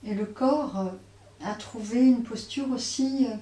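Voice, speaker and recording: A woman teaching yoga nidra, guiding relaxation in a hesitant way, often pausing and repeating phrases. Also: a little high, mellow. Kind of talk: guided relaxation instruction. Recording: roomy, distant mic, faint noise.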